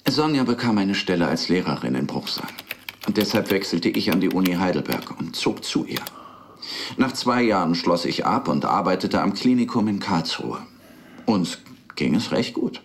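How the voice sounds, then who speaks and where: An actor playing a weary, melancholic middle-aged dentist in a radio play, confiding hesitantly and with resignation in his rabbi. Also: low-pitched. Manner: hesitant, brooding, resigned, with sighs. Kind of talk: naturalistic radio play dialogue.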